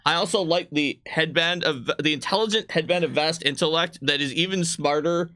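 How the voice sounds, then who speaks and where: A man's voice: on the high side, fairly quick, bright, forceful tone. A man, a game designer on a livestream, chatting casually in an enthusiastic, rambling way. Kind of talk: casual chat about game books.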